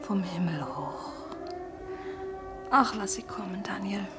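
Casting: female actor as young wartime singer in a radio drama; medium-pitched, breathy, whispery, tender, anxious but brave. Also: slow, over loud music, natural voice. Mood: dreamy, defiant. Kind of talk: German radio play acting, intimate dialogue. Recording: faint background noise.

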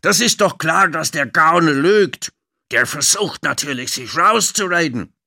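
Children's audiobook: irate male guest, acted by a male reader, raised pitch, forceful, blustering, accusing. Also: expressive, unhurried, gravelly, full character voice. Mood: furious. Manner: loud, indignant, huffing. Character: blustering, angry male hotel guest, red-faced and accusing.

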